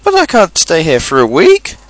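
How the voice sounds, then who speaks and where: A greedy, muddled toy bear as read by a man, pitched well up and swooping in pitch. He is anxious, plaintive and earnest, a storybook creature caught close and dry. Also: very animated, normal speed, a bit bright, no room sound. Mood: anxious, gloomy. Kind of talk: storybook character reading.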